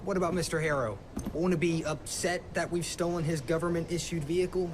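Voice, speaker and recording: Male actor as pedantic game kid sidekick: fairly high pitch, polite and hesitant, noisy ambience. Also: fairly even intonation, fairly quick, lightly acted. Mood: earnest, worried. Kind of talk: video game cutscene acting.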